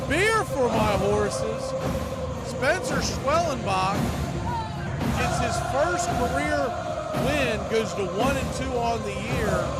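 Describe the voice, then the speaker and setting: A man hosting a baseball radio show, giving play-by-play and fan commentary on the game. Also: very high voice. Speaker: baseball play-by-play announcer and call-in show host.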